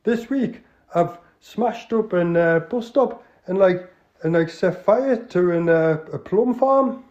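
A man's voice: high-pitched, darkish tone, pitched above the performer's own voice. A man with a Geordie accent voicing a hesitant male petty crook who stumbles through his words, in a dull lo-fi recording. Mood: sheepish. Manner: halting, with ums and "like".

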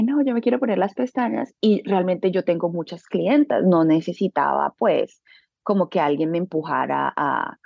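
A woman's voice: on the low side, gravelly, no room sound, highs cut off. A woman with a Colombian accent telling personal stories casually and colloquially, expressively acting out what people said. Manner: colloquial, expressive, acts out quoted dialogue. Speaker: interview guest, beauty business owner.

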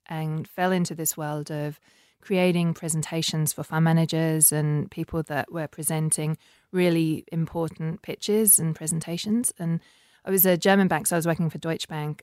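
Low-pitched English woman, dry close sound. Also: darkish tone, no echo. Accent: English, softened by years abroad. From North London, England. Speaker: interview guest, business founder. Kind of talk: conversational storytelling.

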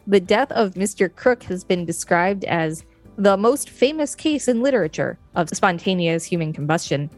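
A woman's voice: on the low side, expressive, normal speed, soft background music. A woman co-hosting a show, reading an encyclopedia article aloud as informative narration.

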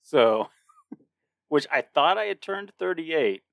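A man's voice: fairly high pitch, very animated, takes it slowly, gravelly, a bit bright, dry recording, studio quality. A man in his late thirties co-hosting a podcast in a casual chat, rambling with frequent restarts and laughter. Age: 30s. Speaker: podcast co-host.

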